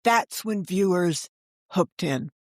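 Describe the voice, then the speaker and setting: A woman's voice: lowish voice, expressive, slow pace, no room sound. Chatty woman telling anecdotes, dry close sound. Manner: chatty, digressive, acts out others' quoted remarks. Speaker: interview guest, author and storyteller. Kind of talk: animated anecdotal storytelling in casual conversation.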